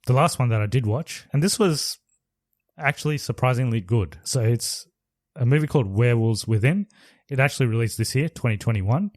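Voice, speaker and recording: A man's voice: medium pitch, lively intonation, a little fast, a little mellow, dry recording, studio quality. A man co-hosting a podcast, casually retelling a movie plot in a loose, rambling way with restarts. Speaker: podcast co-host. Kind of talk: casual chat reviewing movies.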